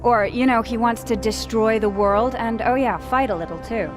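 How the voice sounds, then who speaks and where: A woman voices a sceptical heroine in a fantasy game. Her fairly high voice delivers dry, irreverent quips, with faint music underneath. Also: normal speed, natural voice. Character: sardonic, sceptical warrior heroine. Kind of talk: comic fantasy game acting.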